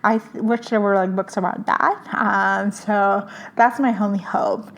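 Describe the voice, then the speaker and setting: A young woman from hosts a casual podcast, her Spanish. She talks eagerly, full of fillers and little restarts, at an ordinary mid pitch for a woman. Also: fairly even intonation, unhurried. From Puerto Rico. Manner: enthusiastic, chatty, many fillers. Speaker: podcast host. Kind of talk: casual book recommendations.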